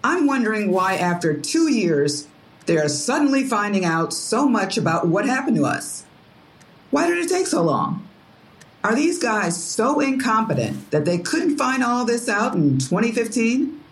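A woman's voice: a little high, big pitch swings, unhurried. An actress playing an indignant, exasperated, principled woman who challenges others in an audio drama. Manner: challenging, disapproving.

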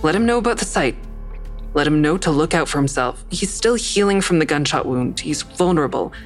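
A woman's voice: low voice, animated, fairly quick. A woman playing a troubled, defiant suspect who narrates her own story in an audio drama, pointed and anxious. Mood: pleading. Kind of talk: first-person audio drama narration and dialogue. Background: music.

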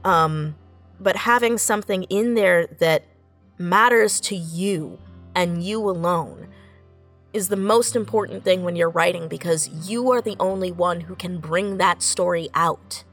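A woman's voice: on the low side, measured pace, fairly bright tone. A woman speaks as a writer on a discussion panel, reflective and encouraging. She leans on key phrases and repeats them with earnest emphasis. Faint music plays behind her voice. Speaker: audio fiction writer on a panel.

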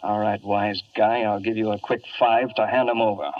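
A man's voice: on the low side, flat delivery, normal speed, natural voice. A man playing a terse, threatening American stick-up thug in a 1950s radio crime drama. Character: menacing tough male stick-up thug. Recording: muffled 1950s radio, distant.

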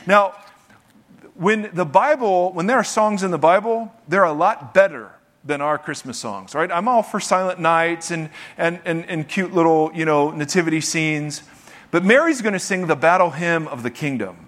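A man's voice: fairly high pitch, lively intonation, normal speed, fairly bright tone. A man preaching a sermon to a live congregation, reading scripture and talking in a loose, joking, conversational way. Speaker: pastor.

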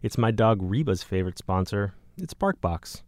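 A man's voice: on the low side, expressive, moderate pace, darkish tone, no room sound, studio quality. A man hosting a podcast, delivering a casual sponsor message. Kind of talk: casual host-read ad.

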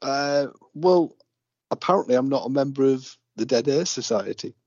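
A man's voice: medium pitch, moderate pace, a little mellow, slightly rough, dry recording. A man from the UK co-hosting a casual radio show, dry and laid-back. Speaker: radio co-host. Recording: dull lo-fi dry audio.

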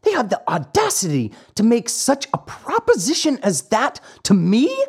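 Reader as an earnest, proud puppet boy, indignant, lively. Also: mid-range voice, normal speed, husky, pitched above the performer's own voice, exaggerated voice.

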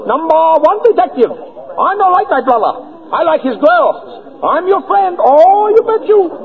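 An eager, loyal male sidekick from a 1940s radio play. His voice is extremely high and animated, heard through a tinny, reverberant recording with crowd noise behind. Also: a little slow, exaggerated voice. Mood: eager, proud. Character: eager, loyal, excitable male friend with gangster brother. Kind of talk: 1940s radio character acting. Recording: tinny echoing old radio, noisy crowd.

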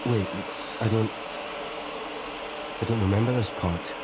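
A man's voice: on the low side, a little slow, very noisy, lightly acted. A man playing a bewildered, uneasy spaceship survivor in an audio drama, questioning and incredulous. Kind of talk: audio fiction acting. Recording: loud music under the voice.

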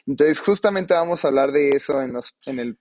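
A man with a mid-range voice hosting a podcast. He opens the episode in an even, measured way and sets up the guests. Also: mid-range voice. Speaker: host. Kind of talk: podcast introduction.

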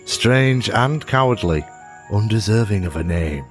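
A man voicing a proud, wise, tiny winged insect who instructs in a grand, slow storybook manner. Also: on the low side, animated, soft background music. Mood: scornful.

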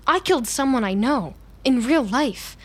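A woman's voice: sits high, expressive, moderate pace. An actress playing an unrepentant, needling young woman killer in an audio drama. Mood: confessional, unbothered. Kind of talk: audio fiction drama acting.

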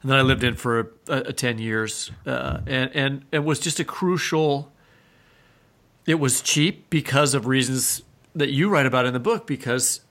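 Gravelly middle-aged American man. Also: medium pitch, a bit bright. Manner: halting, repeats words, circles toward the question. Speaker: podcast co-host and writer. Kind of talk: conversational interviewing.

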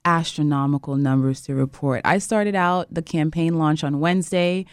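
A woman's voice: low-pitched, moderate pace, clean tone, no room sound, studio quality. A woman from Jamaica, a congressional candidate, speaking firmly as a radio show guest. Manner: firm, campaign-speech delivery. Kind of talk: political interview by phone or studio line.

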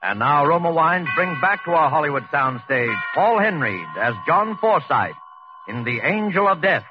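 A male announcer proclaims a 1940s radio introduction formally and dramatically. His voice is slightly high with lively inflection. Music plays clearly behind him. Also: normal speed. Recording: dull vintage radio recording with music.

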